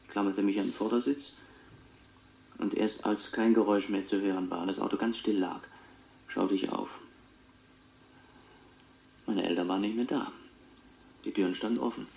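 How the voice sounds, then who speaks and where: An actor playing a clairvoyant who recalls his childhood in a measured, reflective way on a taped radio drama interview. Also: fairly low pitch, fairly even intonation, gravelly, a little mellow. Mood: somber, haunted. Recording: dull tape recording with faint noise.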